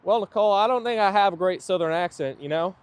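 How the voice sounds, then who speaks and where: Man, friendly stream host, slightly high voice, loose chatty delivery outside. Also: fast talker, smooth, dry recording. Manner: friendly, chatty, thanks viewers often. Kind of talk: casual live chat reading viewer comments.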